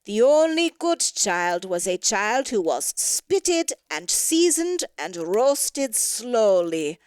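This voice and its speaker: A woman reading a story aloud in an expressive, theatrical and wry storytelling manner. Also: mid-range voice, slow pace, smooth, no echo, studio quality. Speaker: story reader. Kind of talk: expressive scripted storybook narration.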